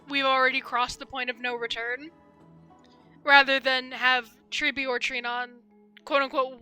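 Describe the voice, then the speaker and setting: High, very bright-voiced woman streamer. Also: smooth, low-quality recording. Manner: candid, teasing, talks back to characters. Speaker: game streamer. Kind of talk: casual live reaction commentary on a story game. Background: game music.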